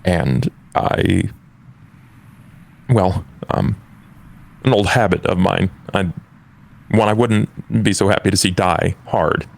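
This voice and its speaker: Casting: male player as hesitant, courtly tabletop vampire, creaky deep voice, formal halting delivery. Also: heavily acted. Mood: hesitant, intimate.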